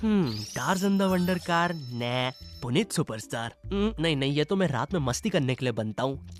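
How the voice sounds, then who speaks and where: A man's voice: fairly high pitch, expressive, moderate pace, faint music behind, natural voice. A man voicing a bossy, theatrical detective in a Hindi cartoon parody. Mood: musing.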